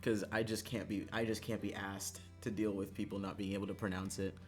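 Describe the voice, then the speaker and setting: A livestreamer chatting casually to viewers in an animated, exasperated way, swearing and laughing often. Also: low-pitched, brisk, soft background music. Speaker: livestreamer, gaming streamer.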